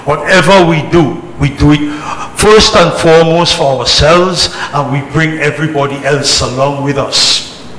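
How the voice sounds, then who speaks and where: Emphatic male orator, bright voice, echoing hall. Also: fairly high pitch. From born in Trinidad, spent early life in England. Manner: emphatic, deliberate oratory with short phrases and repetition. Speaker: religious organisation leader giving a speech. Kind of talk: public speech to a community gathering. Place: temple celebration, amplified to an audience. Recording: echoey hall PA, noisy background.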